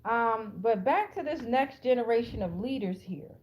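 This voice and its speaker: A woman's voice: a little high, expressive, moderate pace, darkish tone, low-quality recording. An American woman giving a casual, improvised tarot reading to an online audience, blunt and streetwise, swearing freely and repeating phrases for emphasis. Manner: profanity-laced, streetwise, blunt. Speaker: tarot card reader, channel host.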